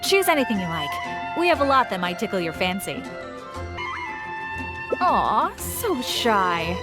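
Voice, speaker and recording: A woman's voice: mid-range voice, big pitch swings, a little slow, over loud music. A woman voicing a friendly, chatty shopkeeper in an anime video game, with a knowing, teasing manner.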